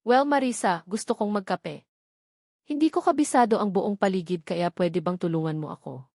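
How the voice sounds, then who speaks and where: A woman's voice: medium pitch, moderate pace, a little mellow, no room sound, studio quality, lightly acted. A Filipino woman narrator playing a kind, confident young mistress of a mansion, friendly and casually commanding. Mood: friendly, decisive.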